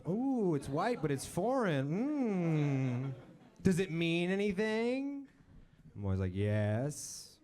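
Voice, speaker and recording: Male comic, 30s, wry and self-mocking, lively pitch, jumps to high voices for impressions. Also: takes it slowly. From born in Romania, raised in Texas. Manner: dry, self-deprecating, mimics people in high voices. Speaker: stand-up comedian. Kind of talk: stand-up set. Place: comedy club stage with live audience.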